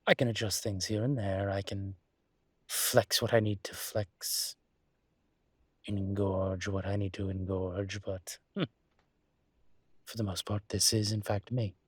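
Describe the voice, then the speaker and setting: A man playing a suave, flirtatious demon professor in an intimate audio roleplay, teasing and indulgent, with a husky, breathy voice and languid, theatrically polite phrasing. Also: fairly low pitch, little pitch movement, no echo. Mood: smug, musing. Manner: languid, seductive, theatrically polite with drawn-out words.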